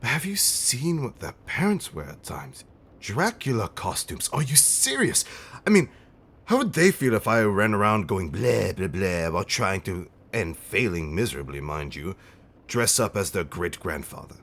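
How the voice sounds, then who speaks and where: A man playing a centuries-old vampire husband in an intimate comfort roleplay, tender and theatrically fussy, with genteel, old-fashioned wording and dramatic pauses. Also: on the low side, very animated, fairly bright tone, full character voice. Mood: indignant storytelling. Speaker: audio roleplay performer.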